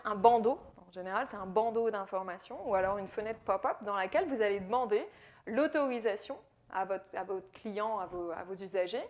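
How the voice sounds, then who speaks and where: A woman from France with a French accent delivers a business presentation. Her voice sits a little higher than most. She explains step by step, with frequent fillers and repeated words as she thinks aloud. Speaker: AI consultant speaker. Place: presentation in Quebec.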